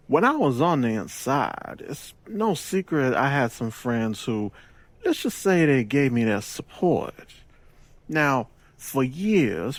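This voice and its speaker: An actor playing a smooth gangster boss in an audio drama, with a deliberate, self-assured, persuasive delivery and sly wit. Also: male voice, mid-range voice, no echo.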